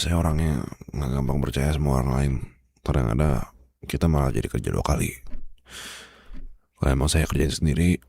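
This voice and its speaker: A man's voice: rumbling low, darkish tone, dry recording. An Indonesian man acting a stern, proud office boss running a fever, in a conversational ASMR roleplay with hesitant muttering. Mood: stubborn, weary.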